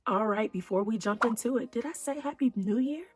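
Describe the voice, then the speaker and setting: A woman psychologist hosting her own channel, talking straight to her viewers in a casual, encouraging way, her voice a little hoarse. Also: fairly high pitch, brisk, over loud music. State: slightly hoarse. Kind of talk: casual talk to camera.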